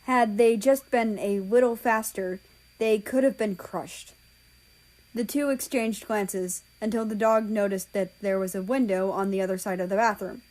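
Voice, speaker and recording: A woman's voice: mid-range voice, moderate pace, a little mellow, poor audio. A woman reading a story aloud as scripted narration, an amateur audiobook reader.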